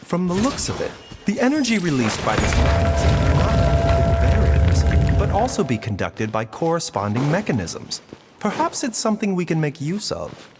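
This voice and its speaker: An actor playing a calm, learned doctor in a video game, gentle, articulate and analytical. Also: male voice, a little high, over loud music. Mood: thoughtful. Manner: measured, articulate, explanatory.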